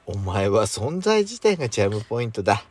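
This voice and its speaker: A man playing a devoted husband in an amateur radio drama, gently teasing and flirtatious. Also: on the low side, sing-song, a little fast, slightly rough. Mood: tender, teasing. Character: devoted husband home late from work. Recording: background music under speech.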